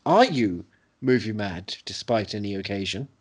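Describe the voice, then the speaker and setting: A man's voice: fairly low pitch, animated, normal speed, husky. A man co-hosting a podcast in casual comic banter, with playful, mock-grand phrasing. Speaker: podcast co-host.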